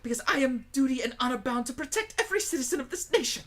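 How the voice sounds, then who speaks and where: A woman playing a dramatic high school student in a comedic anime game fandub, with theatrical delivery. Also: animated, quick pace, slight background noise. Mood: earnest.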